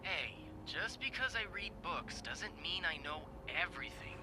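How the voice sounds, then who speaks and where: A man's voice: high-pitched, big pitch swings, unhurried, pushed and bright, slight background noise, full character voice. An actor playing a bookish, good-natured young man in a mystery video game, explaining things in a mildly defensive, conversational way. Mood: helpful, defensive.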